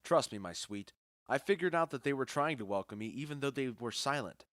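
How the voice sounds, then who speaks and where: A man's voice: mid-range voice, lively intonation, a little fast, a bit bright, dry recording, studio quality, natural voice. A man playing a confident, genial Athenian duke in a modernized Shakespeare audio drama, speaking in a self-assured, declamatory way. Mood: gracious, assured.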